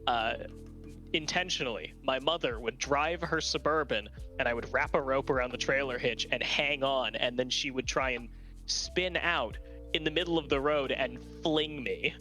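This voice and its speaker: A man's voice: medium pitch, normal speed, bright, forceful tone, soft background music. A man who is an esports caster, trading wisecracks and dry jokes in casual stream banter.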